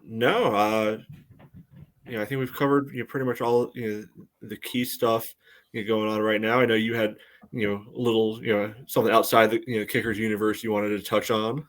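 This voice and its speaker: A man co-hosting a casual sports podcast, talking in a halting way peppered with verbal tics. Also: on the low side, little pitch movement. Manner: halting, peppered with "you know". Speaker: podcast co-host. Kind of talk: casual sports commentary chat.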